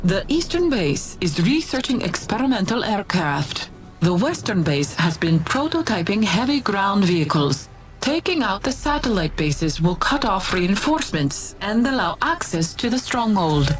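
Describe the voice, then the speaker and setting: Brisk, animated military officer. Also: female voice, medium pitch. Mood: businesslike. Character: brisk, composed female military field officer giving briefings. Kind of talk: video game mission briefing acting. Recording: game music behind the voice.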